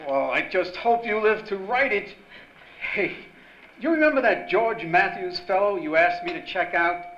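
An actor playing a doubtful, cautioning newspaper editor in an old-time radio drama. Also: male voice, high-pitched, lively intonation, moderate pace. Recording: dull, echoey live stage recording, faint noise.

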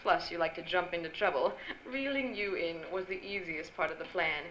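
Sharp-voiced scheming femme fatale, played by a woman. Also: on the low side, fairly quick, muffled recording, close to an everyday voice. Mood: smug, bitter. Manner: theatrical, smug, biting. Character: vengeful scheming femme fatale, vain fallen actress. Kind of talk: old-time radio drama acting. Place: stage before a live audience. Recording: echoey live stage, faint noise.

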